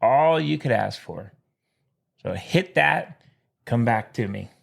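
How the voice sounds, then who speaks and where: Jokey guy cohosting a podcast, real animated swoopy pitch, playful and theatrical. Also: slow, vocal fry. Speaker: podcast co-host. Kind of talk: casual banter.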